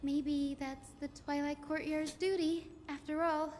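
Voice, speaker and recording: A woman voicing a gentle, wistful young female healer in a video game, pensive and sincere. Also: high voice, little pitch movement, measured pace, big-room sound, over music. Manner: soft-hearted, pensive, sincere.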